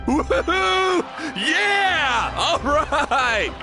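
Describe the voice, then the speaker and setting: A man voicing a proud, exuberant parade announcer in a video game, loudly proclaiming to celebrate. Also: very high-pitched, very animated, extremely slow, music in the background, a crowd in the background, full character voice. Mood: jubilant. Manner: loud, proclaiming.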